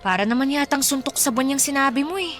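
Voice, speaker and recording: An exasperated young fiancée in a Filipino radio drama. Her voice is very high and bright, with emphatic, scolding questions. Music plays softly behind her. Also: fast talker. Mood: worried, doubtful. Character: exasperated young fiancée confronting her boyfriend over money.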